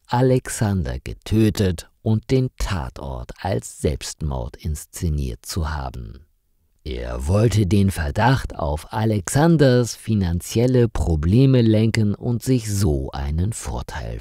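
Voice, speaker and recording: A man tells a story at a deliberately slow, clear pace for learners, his low voice mellow and warm. His pitch rises and falls expressively for drama, and the recording is dry and close with no room sound. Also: no echo. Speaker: narrator of a German learning channel.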